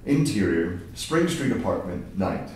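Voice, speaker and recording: A man's voice: low voice, very animated, unhurried, darkish tone. A man reading screenplay stage directions as scripted narration at a table read. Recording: very echoey room, distant mic, faint noise.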